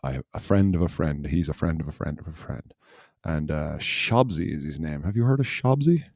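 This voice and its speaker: A man with a deep, mellow voice, hosting a podcast in easy, casual chat. He wanders through his story and circles back on himself. The sound is dry and close, with no room around it. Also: lively intonation, moderate pace, no room sound. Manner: rambling, loose storytelling that circles back on itself. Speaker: podcast host.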